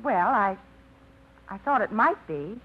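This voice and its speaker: A woman's voice: medium pitch, animated, slow pace, breathy edge. An actress playing a shy, hesitant young woman who stumbles over her words, in a 1950s radio drama. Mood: unsure. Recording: muffled 1950s radio broadcast.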